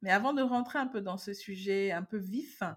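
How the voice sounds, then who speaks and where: Woman, podcast host, mid-pitched voice, warm, effusive, quick bursts. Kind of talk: conversational interview.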